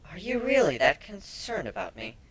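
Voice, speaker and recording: A man's voice: sits high, very animated, normal speed, creaky, fairly bright tone, pitched above the performer's own voice, exaggerated voice. A man voicing a sarcastic, defiant female changeling held captive in a dramatic story reading, with a biting, exasperated delivery. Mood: glum, dry.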